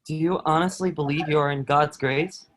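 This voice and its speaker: A man acts out a medieval soldier with a notably high male voice. He is a proud, dutiful lackey who is quietly awed by his prisoner. His phrasing is earnest and formal, as in a historical stage play. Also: fairly even intonation, normal speed, noisy background, close to an everyday voice. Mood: earnest, troubled. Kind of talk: table-read stage acting of historical drama.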